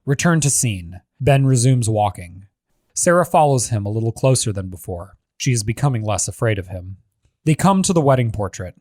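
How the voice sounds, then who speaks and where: A male narrator reads screenplay action lines in a scripted style. His voice is mid-pitched, and the recording is dry with very little room sound. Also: big pitch swings, moderate pace, a little mellow, no echo.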